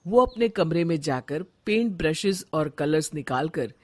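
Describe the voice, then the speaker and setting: Slow, expressive woman story narrator. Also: low-pitched.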